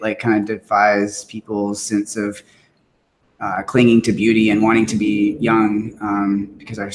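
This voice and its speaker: A man's voice: lowish voice, slight background noise, low-quality recording. A man, a panel guest, speaking reflectively with many fillers. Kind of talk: casual panel discussion.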